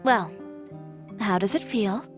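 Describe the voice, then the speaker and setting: A woman's voice: mid-range voice, slow, natural voice. A woman playing an assertive young fashion designer in a video game, speaking in a composed, candid, dryly proud way. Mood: calm, inviting. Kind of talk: video game voice acting, reflective dialogue. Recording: background music under dialogue.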